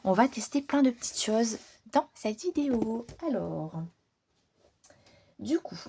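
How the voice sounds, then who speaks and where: A woman chatting informally and unscripted to her viewers as a hobby channel host, in a relaxed, rambling manner. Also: on the high side, slow pace, vocal fry, slight background noise. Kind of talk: casual unscripted chat to viewers.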